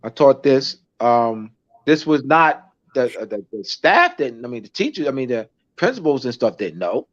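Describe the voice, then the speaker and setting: A man's voice: mid-range voice, big pitch swings. Man, teacher being interviewed, keeps restarting sentences, muffled lo-fi sound. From United States. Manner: halting, many restarts and fillers. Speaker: schoolteacher guest. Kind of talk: casual interview talk. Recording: dull lo-fi recording.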